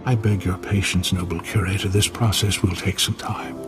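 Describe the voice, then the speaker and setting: A man's voice: low-pitched, little pitch movement, moderate pace, over music, close to an everyday voice. An actor playing a meek, deferential male museum assistant in a video game, obsequious, hesitant and overly formal. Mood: servile, reluctant.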